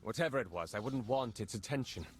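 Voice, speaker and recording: An earnest male intelligence agent from a video game , voiced by an actor. His mid-pitched voice is urgent and conflicted, with a pleading edge. There is faint noise in the background. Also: animated, fast, natural voice. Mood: grave, apologetic. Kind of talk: dramatic video game dialogue.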